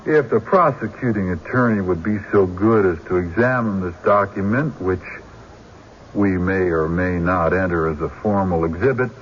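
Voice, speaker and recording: An actor playing a shrewd country defense lawyer in a radio drama, deliberate and rhetorical, pressing pointed questions. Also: male voice, low-pitched, lightly acted. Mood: forceful oratory. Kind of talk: old-time radio drama acting. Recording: dull, noisy radio drama recording.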